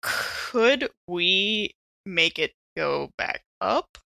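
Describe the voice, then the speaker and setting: Brief: female tabletop RPG player, casual and in-character by turns, bright tone, dry close sound. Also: mid-range voice, lively intonation, extremely slow, creaky, dry recording. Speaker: role-playing game player. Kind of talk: casual in-game chat and role-play.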